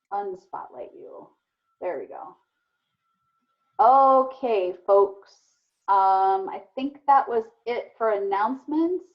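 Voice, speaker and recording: A woman teacher talking casually and encouragingly to children on a video call, often praising and affirming them. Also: medium pitch. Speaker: elementary teacher hosting an online read-aloud. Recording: muffled, band-limited video call audio.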